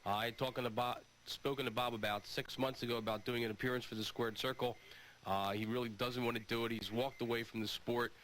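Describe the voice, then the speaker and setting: A man's voice: mid-range voice, steady tone, quick pace, fairly bright tone. A man hosting a sports call-in radio show, discussing and taking calls. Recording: noisy old radio broadcast recording.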